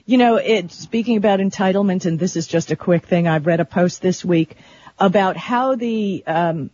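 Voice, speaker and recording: A woman's voice: on the low side, normal speed, a little mellow. A woman co-hosting a talk radio show in casual, conversational discussion. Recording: dull lo-fi radio stream.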